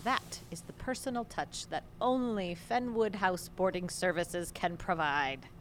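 A woman acting a brisk, teasing mother who runs a boarding house, in an audio drama. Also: fairly low pitch, a little hiss or hum, natural voice.

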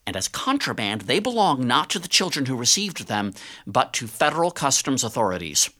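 An actor playing a smug morning-show TV interviewer in an audio drama, fawning one moment and prosecutorial the next, with a slick delivery. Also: male voice, mid-range voice, sing-song, a little fast, pushed and bright.

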